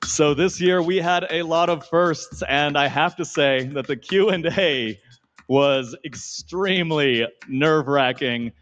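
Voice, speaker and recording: A man's voice: on the high side, unhurried, fairly bright tone, muffled recording, faint music behind. A man co-hosting a live pageant on an arena stage, upbeat and joking with his co-hosts. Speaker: pageant co-host.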